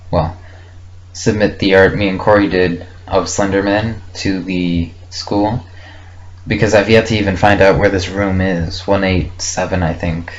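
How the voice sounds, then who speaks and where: A young man recording a casual, unscripted audio log, thinking aloud hesitantly and reflectively in short fragments. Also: low-pitched. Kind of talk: casual unscripted rambling monologue. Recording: muffled lo-fi with faint background noise.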